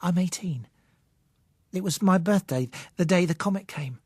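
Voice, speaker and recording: A man's voice: high-pitched, normal speed, darkish tone, breathy edge, no echo, studio quality, voice pushed up. A man voicing a timid, faltering eighteen-year-old blind young woman in an audiobook, speaking in a hesitant, pleading way. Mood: wistful, hopeful. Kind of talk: audiobook character dialogue.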